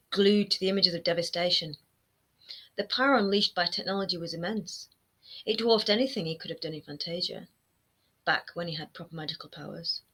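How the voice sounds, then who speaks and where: A woman in her thirties, an author reading her own fantasy novel aloud at home in an expressive storytelling manner. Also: lowish voice, breathy edge, poor audio. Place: home room lined with bookshelves. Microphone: webcam framing, seated close to camera.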